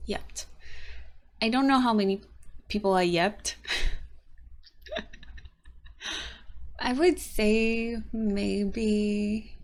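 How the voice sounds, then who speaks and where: A young woman with a raspy, tired voice, talking casually to her camera in a rambling, halting vlog. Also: mid-range voice. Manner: rambling, halting, frequent restarts and soft laughs. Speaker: vlogger.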